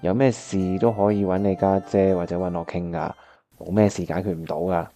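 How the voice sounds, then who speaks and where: Man acting as earnest young male pianist, Hong Kong accent, caring, lowish voice, radio drama storytelling, music underneath. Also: little pitch movement, fairly quick, dark tone. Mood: earnest pleading. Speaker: voice actor narrating and playing the lead. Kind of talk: Cantonese radio drama narration and dialogue.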